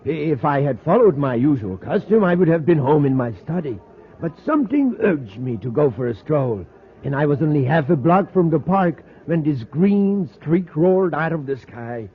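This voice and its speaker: An actor playing an eager, fussy meteorite professor, polite and hesitant, in 1950s radio drama style. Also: male voice, a little high, sing-song, normal speed. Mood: excited. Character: eager, excitable male professor, a meteorite expert. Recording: tinny lo-fi vintage radio recording.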